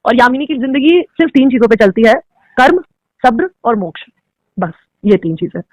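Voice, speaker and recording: A woman's voice: a little high, sing-song, moderate pace, dry recording, low-quality recording. A young woman, an actress, answering interview questions in an outspoken, emphatic way and slipping into English phrases.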